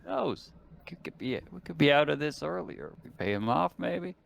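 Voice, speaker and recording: A man's voice: a little high, lively intonation, moderate pace, gravelly, slight background noise. A man playing a tabletop roleplaying game, talking casually and arguing his case with plenty of swearing. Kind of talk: casual in-character table talk.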